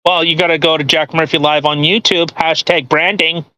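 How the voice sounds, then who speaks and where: Bright-voiced sarcastic man, slightly high. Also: level tone, normal speed, low-quality recording. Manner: sarcastic, profane, heckling. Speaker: podcast co-host. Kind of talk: mocking dramatic reading with crude banter.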